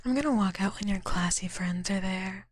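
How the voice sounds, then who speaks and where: A young woman whispering softly to her viewers in a drowsy, teasing chat, tired and a bit out of it. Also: medium pitch, normal speed, no echo, studio quality. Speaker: streamer.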